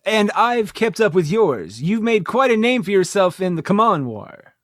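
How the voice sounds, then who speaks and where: A man's voice: sits high, big pitch swings, normal speed, fairly bright tone. A man acting an awkward, joking space station officer with a hesitant manner in an audio drama.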